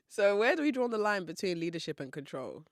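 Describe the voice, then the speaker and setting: A woman's voice: mid-range voice, no echo, studio quality. A young woman from hosting a solo podcast in a chatty, playful way, often laughing and asking listeners questions. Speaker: podcast host.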